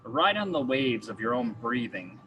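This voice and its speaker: A man guides a meditation as a coach, speaking in measured phrases broken by pauses. His mid-range voice moves up and down with a lot of melodic variation. There is faint but steady noise in the recording. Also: mid-range voice, low-quality recording.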